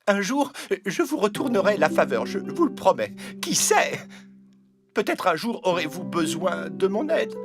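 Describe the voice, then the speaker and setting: A tiny, fearful rat from an animated fable. The voice is high and swings expressively as it pleads, meek and polite. Soft music sits behind it. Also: male voice, a little slow, creaky, exaggerated voice. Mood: earnest promising. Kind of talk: animated fable character acting.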